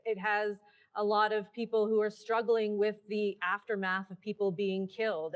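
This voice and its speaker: A woman's voice: mid-range voice, dull audio. A woman interviewer asking long, probing questions that wander before getting to the point.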